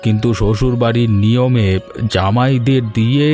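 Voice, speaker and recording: A man's voice: mid-range voice, fairly even intonation, moderate pace, dark tone, close to an everyday voice. A man playing an old Bengali village father-in-law, music underneath. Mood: gently protesting. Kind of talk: animated folk story dialogue.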